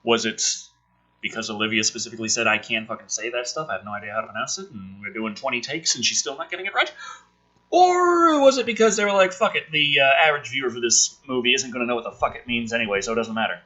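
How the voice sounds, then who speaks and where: A man's voice: medium pitch, expressive, quick pace, bright, forceful tone, poor audio. A man doing a casual dramatic script reading as host, with sarcastic, profane ranting asides. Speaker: host reading a film script aloud with commentary.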